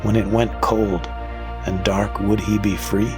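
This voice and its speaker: Audio drama storyteller, played by a man: low, grave, measured and unhurried, reading a melancholy tale. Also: flat delivery, over music, natural voice. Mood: somber, melancholy. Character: somber storyteller narrating a bleak, mournful Christmas tale. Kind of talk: scripted audio drama narration.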